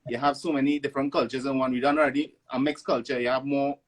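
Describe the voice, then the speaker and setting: A man from Venezuela raised in Trinidad, with a Trinidadian accent, talking as a guest in a casual interview, halting with restarts. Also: medium pitch, level tone. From born in Venezuela, raised in Trinidad. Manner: halting, many restarts and "you know". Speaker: guest artist. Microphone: online live stream.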